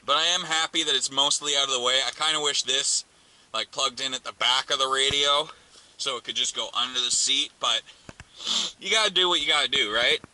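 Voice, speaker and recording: A man's voice: medium pitch, fairly quick, pushed and bright. A man giving a casual hands-on tutorial as a vlogger, informally thinking aloud while he works inside a car. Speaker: vlogger demonstrating a car radio install. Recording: noisy car interior with clicks.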